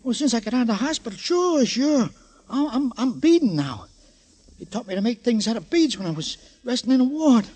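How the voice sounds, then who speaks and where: High-pitched, stammering nervous crook. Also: male voice, big pitch swings, brisk, a little mellow, heavily acted. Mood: anxious, defensive. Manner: stammering, repeats himself anxiously. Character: nervous, pleading ex-stool pigeon, small-time crook. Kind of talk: old-time radio crime drama acting. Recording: old radio broadcast with music.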